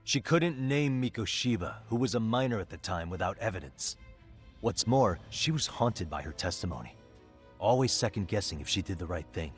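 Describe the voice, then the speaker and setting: A man doing a probing game detective, deep low voice, steady pressing delivery, faint music behind. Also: moderate pace, natural voice. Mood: stern. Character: determined male detective, calm and probing. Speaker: detective in cutscene. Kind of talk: game voice acting, dub.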